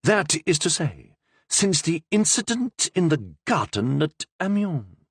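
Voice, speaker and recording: A man's voice: mid-range voice, sing-song, moderate pace, gravelly, breathy edge, dry recording, studio quality, voice pushed up, heavily acted. A man playing a stern, commanding king. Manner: curt, authoritative. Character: stern, proud young king, curt and commanding.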